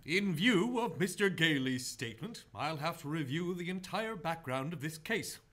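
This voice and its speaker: A man's voice: fairly high pitch, lively intonation, moderate pace, fairly bright tone. A man playing a stern, patient judge in a formal radio play style. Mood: formal, weary.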